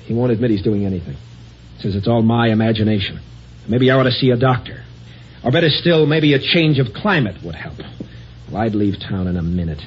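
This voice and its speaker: A man's voice: fairly low pitch, animated, brisk, lightly acted. An actor playing a nervous, desperate man of middle age who stammers and breaks into panic, in 1950s radio style. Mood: bitter, desperate. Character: frightened, desperate middle-aged office man. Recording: dull old radio broadcast with hiss.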